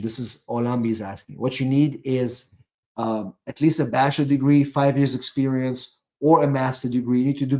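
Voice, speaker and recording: A man's voice: mid-range voice, darkish tone. A man speaking off the cuff as an attorney hosting a live question-and-answer stream, emphatic and prone to repeating key phrases.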